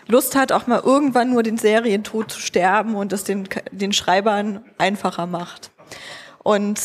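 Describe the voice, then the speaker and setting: A woman of medium pitch gives a spoken introduction as event host. Her voice carries the long reverb of a large hall. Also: husky. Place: large auditorium stage.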